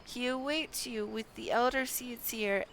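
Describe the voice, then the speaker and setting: A stern, formal commander of a game's forces, speaking in slow, measured tones, as a woman reads him out. The voice sits a little high, and the delivery is unhurried and deliberate. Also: steady tone, fairly bright tone, a little hiss or hum.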